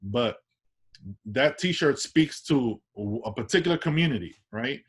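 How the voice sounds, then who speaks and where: A man with a New York accent talks through an interview in an easy, rambling way. His voice sits at an ordinary pitch, and he keeps checking in with tag questions and starting sentences over. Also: lively intonation, measured pace, breathy edge. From Washington Heights, New York. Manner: loose, tag questions, many restarts. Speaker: artist guest. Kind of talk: casual interview chat.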